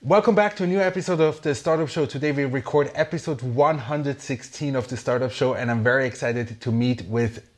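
A man hosts an interview show in an eager tone at a middle pitch. His questions come out a bit halting, with repeated words and restarts. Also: soft background music. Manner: enthusiastic and somewhat halting, with restarts. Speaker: podcast host.

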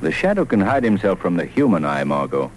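An actor playing an urbane, thoughtful gentleman sleuth in 1940s radio style, with a measured manner. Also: low-pitched, big pitch swings, poor audio. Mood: explaining. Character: suave, thoughtful gentleman amateur sleuth hiding a secret. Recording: old radio recording, faint noise.

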